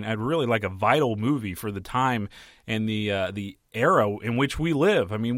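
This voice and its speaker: A hoarse man talks through his podcast with lots of fillers and restarts, sharing strong opinions. His voice sits at a middle pitch. The recording is dry, with no room sound. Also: a bit bright, no echo. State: hoarse from a flu. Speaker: podcast host and film critic. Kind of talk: casual solo podcast talk.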